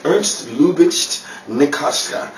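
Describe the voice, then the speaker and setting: A man with a higher, animated voice reads announcer copy for an old-time radio show and keeps stumbling. He is recorded at a distance from the mic in a small room, with clear noise behind him. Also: echoey room. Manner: halting, stumbling over the script. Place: small indoor room dressed as radio studio.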